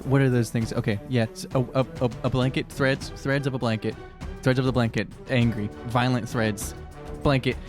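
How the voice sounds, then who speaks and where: A man playing a tabletop game, chatting and role-playing casually in halting, repeated bursts. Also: mid-range voice, flat delivery, music in the background. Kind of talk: casual, improvised role-play chat.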